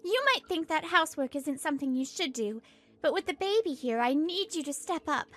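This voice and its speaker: A woman's voice: sits high, animated, fairly quick, a bit bright. A woman voicing an earnest pregnant wife who narrates a manga dub, fed up but hopeful.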